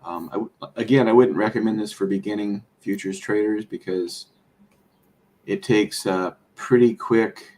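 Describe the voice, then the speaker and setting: A man talking casually about trading, rambling and explaining with many fillers and restarts, a bit hoarse as he is losing his voice. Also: fairly low pitch, measured pace, a little mellow. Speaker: trader. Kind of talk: casual conversation.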